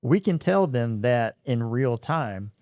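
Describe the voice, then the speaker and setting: A man's voice: mid-range voice, lively intonation, takes it slowly, a little mellow, no echo, studio quality. Earnest man explaining radio. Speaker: radio host and storm spotter. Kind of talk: casual interview talk.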